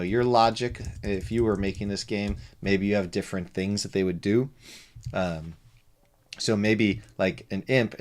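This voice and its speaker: A man streaming live game development, talking to himself with many fillers and joking asides in a soothing voice. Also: deep voice. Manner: rambling, self-talk with many fillers and asides. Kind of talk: live coding stream, casual narration.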